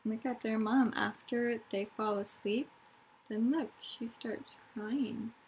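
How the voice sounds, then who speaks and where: A woman reads a children's story aloud as a teacher would, with a slow pace and a dramatic, deliberate delivery. She speaks directly to young listeners and explains things as she goes. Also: on the high side, fairly even intonation, a little mellow, a little hiss or hum. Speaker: teacher reading a picture book aloud to pupils.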